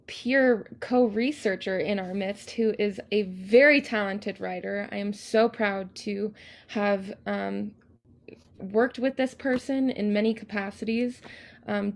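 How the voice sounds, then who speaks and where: A woman speaks as a panel host with a noticeably creaky voice and a fairly flat pitch. Her delivery is unscripted, earnest and a little formal, in the manner of someone introducing colleagues. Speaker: host, student researcher.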